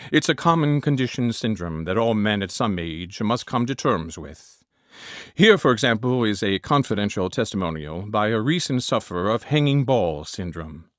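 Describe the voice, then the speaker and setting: Man putting on a low, grave infomercial announcer. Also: lively intonation, normal speed, no echo, clean studio sound, close to an everyday voice. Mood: grave, confidential. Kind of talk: parody advert narration.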